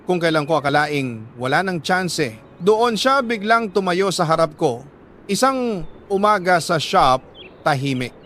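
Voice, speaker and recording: A Filipino man narrating a listener's letter as a radio storyteller, in a measured, sentimental and dramatic way with deliberate pauses. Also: on the high side, a bit bright, a little hiss or hum. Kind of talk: dramatic first-person scripted narration in the letter writer's words.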